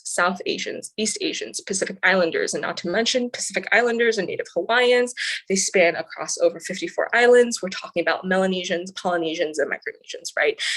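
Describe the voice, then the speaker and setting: A woman's voice: mid-range voice, a bit bright. A young woman from the San Francisco Bay Area, a student senator, giving earnest, articulate interview answers with frequent "um" fillers. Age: young adult.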